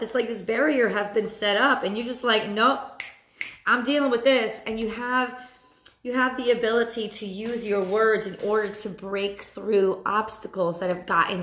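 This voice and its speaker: Hoarse woman giving a tarot reading. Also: fairly high pitch, reverberant. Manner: animated, repeats phrases, chatty asides. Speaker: tarot reader. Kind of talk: weekly tarot reading to camera. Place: small room at home.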